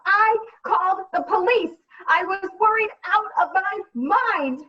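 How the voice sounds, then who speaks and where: A woman's voice: very high voice, animated, measured pace, pitched above the performer's own voice. A woman playing an exhausted, frantic single mother in a comedic radio play, with melodramatic exasperation. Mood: furious, frantic. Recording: dull, distant mic.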